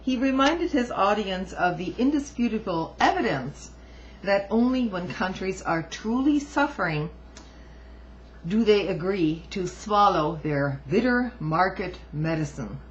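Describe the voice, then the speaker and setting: Female commentator reading aloud, very unhurried and deliberate, emphatic asides, dull home recording. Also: mid-range voice, animated, slight background noise. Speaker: amateur book reviewer and commentator.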